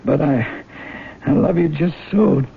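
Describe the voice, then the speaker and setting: An actor playing a lonely, lustful middle-aged farmer in a radio drama, with a halting, pleading way of talking. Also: male voice, a little high, expressive, heavily acted. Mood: desperate, pleading. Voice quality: raspy, creaky. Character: lonely middle-aged Texas farmer, awkwardly lusting after foster daughter. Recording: tinny vintage radio broadcast.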